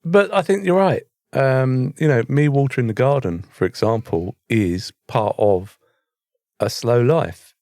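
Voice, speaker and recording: A man's voice: mid-range voice, lively intonation, unhurried, darkish tone, no echo, clean studio sound. A man with a British accent chatting informally as a podcast co-host. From Britain. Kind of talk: casual chat.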